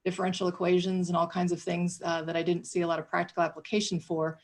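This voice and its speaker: A woman's voice: fairly low pitch, completely flat delivery, fast. A woman in her fifties from Michigan with a Michigan accent, a city official chatting through her background on a panel. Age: 50s. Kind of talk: panel introduction.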